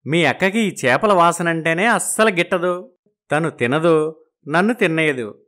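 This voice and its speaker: A man's voice: fairly high pitch, animated, a little slow, fairly bright tone. A man playing a genial, food-loving village brother-in-law in a moral story, effusive and full of exclamations. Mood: eager, agreeable.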